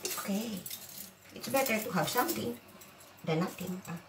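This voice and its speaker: A woman's voice: medium pitch, moderate pace, background noise. Rambling Filipino woman cooking in echoey kitchen. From Philippines. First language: Waray. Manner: rambling, blunt, swears freely. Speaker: vlogger. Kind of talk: casual cooking vlog. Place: home kitchen. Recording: echoey room from far off, loud sizzling.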